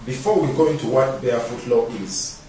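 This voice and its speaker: A man's voice: medium pitch, fairly quick, mellow, slight background noise. A man from Uganda giving a conference presentation, building his points with repeated rhetorical questions. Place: large conference hall. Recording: echoey hall, speaker far from mic.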